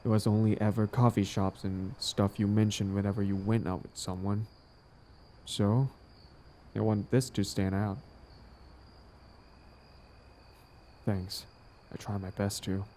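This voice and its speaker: A man acting out a smug but smitten villain on a date, smooth-talking and casual, in an intimate audio roleplay. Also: on the low side, flat delivery, moderate pace, mellow, slight background noise, lightly acted. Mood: tender, sincere. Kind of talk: intimate scripted boyfriend-style audio roleplay.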